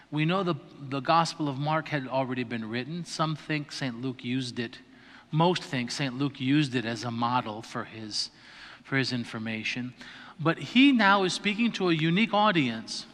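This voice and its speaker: A man's voice: fairly high pitch, bright, forceful tone. A man, a priest, gives a conversational lecture to an adult class, explaining patiently and checking in with his listeners as he goes. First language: not Greek. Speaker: priest teaching adult catechism. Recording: reverberant hall on a livestream mic.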